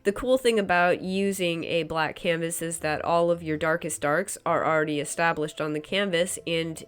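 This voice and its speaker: Low, smooth-toned woman with a head cold, congested and nasal, relaxed and chatty, a painter narrating her own tutorial. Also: normal speed. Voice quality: stuffy, nasal. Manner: relaxed and chatty, hedges with frequent "kind of" and "um". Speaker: painter demonstrating her work. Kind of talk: casual tutorial voice-over. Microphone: voice-over recorded separately from the footage.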